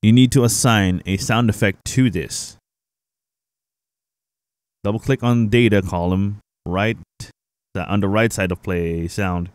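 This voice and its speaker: Low-voiced man giving a casual tutorial. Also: steady tone, measured pace, pauses often, no room sound. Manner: informal, explanatory, addressing viewers. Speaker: tutorial host, gaming streamer. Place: indoor home streaming setup. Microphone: close boom-arm microphone.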